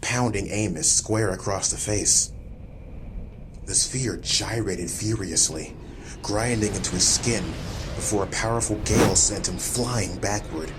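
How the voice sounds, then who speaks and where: Low voiced guy narrating a dramatic audiobook, noisy ambience behind him. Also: measured pace. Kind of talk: scripted dramatic story narration.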